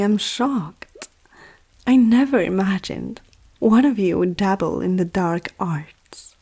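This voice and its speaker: A woman voicing a powerful, teasing female vampire noble in an ASMR roleplay, speaking softly and often close to a whisper, with an aristocratic, self-assured manner. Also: medium pitch. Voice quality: soft, breathy, often near whisper. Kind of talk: ASMR roleplay addressed to the listener.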